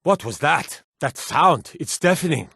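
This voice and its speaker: A gruff male fantasy game guard, blunt and theatrical. The voice sits high for a man and swings widely in pitch. It has a forceful, hard edge suited to a threatening soldier. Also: animated, measured pace, no room sound, studio quality, heavily acted. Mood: wary, searching. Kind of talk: video game combat barks and warnings.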